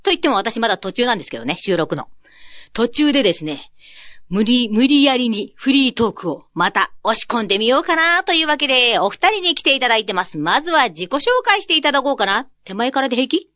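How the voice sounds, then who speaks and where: Female radio host, high lively voice, dry close sound, jokey, polite mixed with slang, casual chat. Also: fast talker, no room sound. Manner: jokey, switches between polite and slangy speech. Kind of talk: casual free talk, interview chat.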